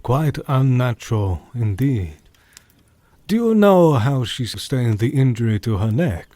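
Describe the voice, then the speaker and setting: A man playing a grave, courteous scholarly doctor in an audio drama, speaking formally with measured politeness. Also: mid-range voice, big pitch swings, mellow, no echo. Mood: probing.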